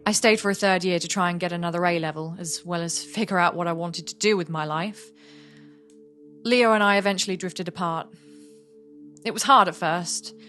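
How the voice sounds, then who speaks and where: A woman's voice: lowish voice, moderate pace, fairly bright tone, soft background music, lightly acted. A woman playing a reflective young artist in an audio play, speaking in an earnest, confessional way. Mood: wistful. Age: young adult. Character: young woman artist, reflective, warm, wistful about an old friend. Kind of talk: audio play monologue and dialogue.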